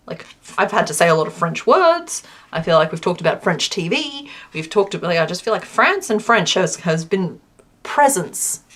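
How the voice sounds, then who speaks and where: A woman chatting casually on a crochet livestream, rambling with many fillers and reading chat aloud. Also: medium pitch. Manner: reads chat aloud and counts stitches quietly. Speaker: crochet streamer.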